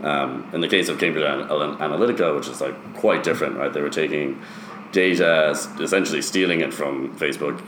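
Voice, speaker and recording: A man from Ireland who has lived in the US, answering interview questions as a founder in a conversational, explanatory way. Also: extremely low pitch. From Ireland, later years in the US. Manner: explanatory, often checks with "right?". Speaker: interview guest, startup founder. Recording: roomy echo, faint background noise.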